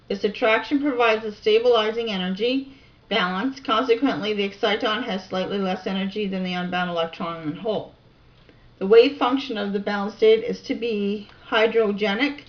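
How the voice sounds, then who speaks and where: Woman reading aloud, dull lo-fi recording. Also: mid-range voice. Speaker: amateur video researcher and narrator. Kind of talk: reading reference text aloud with casual asides.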